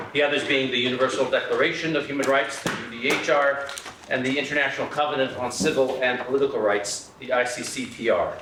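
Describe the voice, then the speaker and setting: A man's voice: medium pitch, sharp tone. A man hosting a symposium who reads a formal introduction of the keynote speaker, with a few small slips. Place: lecture hall. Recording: echoey hall, distant mic, faint noise.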